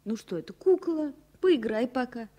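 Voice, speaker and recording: An actress playing a young, loving and resolute flight attendant in a 1970s Soviet radio play, speaking in a soft, coaxing way. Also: female voice, on the high side, lively intonation, moderate pace. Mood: affectionate, reassuring.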